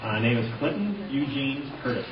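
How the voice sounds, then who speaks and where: A man's voice: mid-range voice, big pitch swings, normal speed, distant mic. Echoey, plain-spoken American man testifying. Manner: plain-spoken, matter-of-fact, brief answers. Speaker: witness, computer programmer. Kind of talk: sworn testimony in answer to questions. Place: large indoor hearing room. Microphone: hearing microphone, at some distance. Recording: roomy, off-mic, dull, faintly noisy hearing audio.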